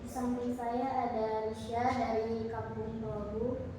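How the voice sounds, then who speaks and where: A woman's voice: fairly high pitch, flat delivery, unhurried, noisy background. Hesitant young woman far off in an echoey room. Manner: hesitant, polite recitation with fillers. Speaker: student playing a counselee. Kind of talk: role-played group introductions.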